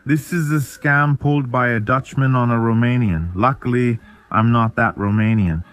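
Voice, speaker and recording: A man's voice: mid-range voice, moderate pace, fairly bright tone. A man walking viewers through a car in a plain, matter-of-fact explanation. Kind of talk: explanatory walkthrough.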